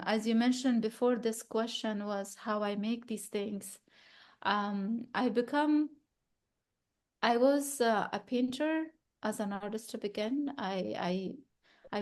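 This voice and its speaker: Woman artist from Afghanistan, thirties, gallery talk, thoughtful and halting with restarts, mid-pitched voice. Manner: thoughtful, searching for words, frequent restarts. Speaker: exhibiting artist.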